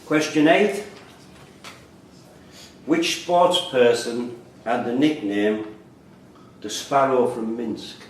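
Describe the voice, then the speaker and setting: Male quiz master, measured and terse, recorded at a distance in a boomy, reverberant room. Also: animated. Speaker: quiz master reading questions. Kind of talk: live quiz question reading. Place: indoor room at a quiz tournament. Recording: echoey room, distant mic, faint noise.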